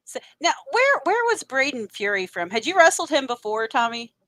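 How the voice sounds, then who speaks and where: A woman's voice: sits high, big pitch swings, normal speed, fairly bright tone, no room sound. Giggly, chatty woman co-host. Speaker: podcast co-host. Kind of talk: casual chat.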